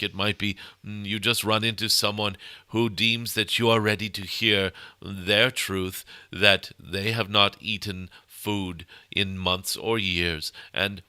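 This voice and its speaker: Man channeling a bright, low, halting dragon sage. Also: clear, resonant tone, dry recording, studio quality, full character voice. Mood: solemn, assured. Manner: solemn, halting, punctuated by humming mm sounds. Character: ancient benevolent cosmic dragon, channeled spirit teacher. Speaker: channeler giving spiritual messages. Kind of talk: channeled spiritual discourse.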